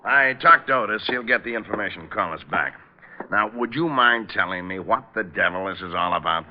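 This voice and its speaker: An actor playing a gruff, impatient police lieutenant with an exasperated manner, in old radio crime drama style. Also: male voice, on the low side, animated, brisk, music in the background, lightly acted. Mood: puzzled, exasperated. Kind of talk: 1940s-50s radio crime acting. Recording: dull old radio recording.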